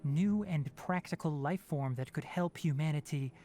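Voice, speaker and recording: An actor playing an earnest young male forest ranger and scholar in a video game, speaking in a formal, measured, articulate way with gentle sternness. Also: on the high side, close to an everyday voice. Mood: serious, explaining. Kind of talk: scripted video game voice acting.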